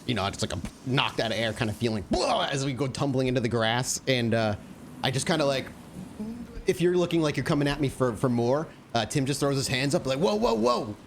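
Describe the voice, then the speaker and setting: A man's voice: mid-range voice, quick pace, a little hiss or hum. A man playing a tabletop game, casually improvising narration of what his character does.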